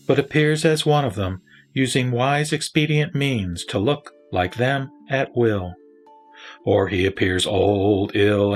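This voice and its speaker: A man reciting verse scripture as a dramatic scripted reading, with solemn, measured phrasing and pauses. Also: mid-range voice. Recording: background music under the voice. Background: music.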